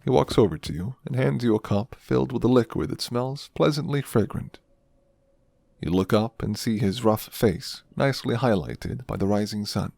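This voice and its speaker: A man who makes ASMR roleplays, talking and narrating softly. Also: mid-range voice, normal speed, gravelly, no echo, clean studio sound. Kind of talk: soft ASMR talk and storytelling.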